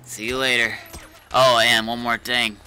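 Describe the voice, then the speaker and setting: A man's voice: mid-range voice, level tone, a little slow, pushed and bright, smooth. A man playing an online roleplay game and streaming it, talking in casual in-game chat. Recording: faint background noise, game vehicle sounds.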